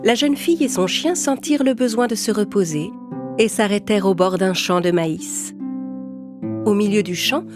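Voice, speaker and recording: A woman's voice: mid-range voice, moderate pace, music in the background. A woman reading a scripted children's story as narrator.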